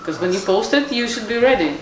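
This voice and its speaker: A woman's voice: a little high, animated, fast talker. A woman chatting casually as a panel guest, in loose, informal, repetitive phrasing. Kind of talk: casual unscripted chat. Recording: echoey room, distant mic, faint noise.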